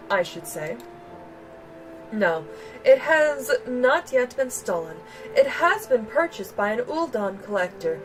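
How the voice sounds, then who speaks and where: A woman's voice: a little high, distant mic, low-quality recording. A woman voicing a prim, articulate investigating reporter in a fantasy game, formal and wordy.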